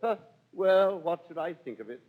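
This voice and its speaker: An actor playing a pompous, self-admiring, ambitious chief butler in a 1930s radio Shakespeare soliloquy. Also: male voice, sits high, animated, normal speed, soft background music. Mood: smug, musing. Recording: dull old radio recording.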